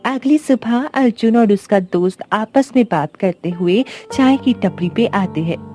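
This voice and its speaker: A woman reading scripted narration for an animated moral story. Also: fairly high pitch, unhurried. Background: background music.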